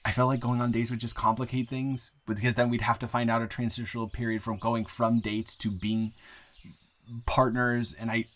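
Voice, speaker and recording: A man playing an earnest, grateful young man shyly confessing love to a friend in an intimate, halting, improvised audio roleplay. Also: medium pitch, flat delivery, natural voice. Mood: hopeful, nervous. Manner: halting, rambling, earnest, breaking into soft laughs.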